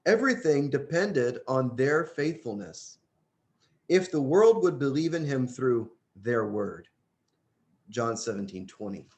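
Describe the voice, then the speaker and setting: Animated man reading a religious book aloud. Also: mid-range voice, a little slow. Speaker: audiobook reader.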